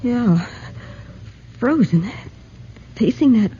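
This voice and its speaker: Jittery but gutsy 1940s radio girl reporter, mellow tone, old hissy recording. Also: a little high, lively intonation, extremely slow, lo-fi sound. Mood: frightened. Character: nervous but plucky female newspaper reporter.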